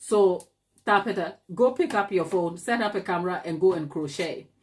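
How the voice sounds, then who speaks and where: A woman's voice: fairly low pitch, normal speed. Emphatic Ghanaian woman on a live stream. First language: not English. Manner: emphatic, talks straight to viewers, rhetorical questions. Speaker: cake maker and channel host. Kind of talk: live stream chat to viewers.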